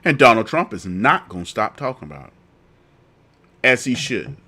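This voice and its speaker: A man's voice: medium pitch, big pitch swings, moderate pace, pushed and bright, a little hiss or hum. A man hosting a political talk show with a lingering cough, repeating himself as his commentary builds into heated indignation. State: fighting a cough.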